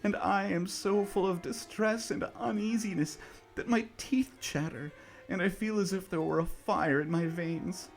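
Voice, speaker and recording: A man putting on a shrill, terrified mother. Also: a little slow, vocal fry, faint music behind. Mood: uneasy dread. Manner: shrill, panicked cries. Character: frantic guilt-ridden mother, shrill and terrified.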